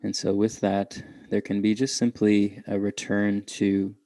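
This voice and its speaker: A man guiding a meditation in a gentle, unhurried way, leaving long pauses between short phrases. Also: fairly low pitch, completely flat delivery, no echo. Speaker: meditation guide. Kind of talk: guided meditation.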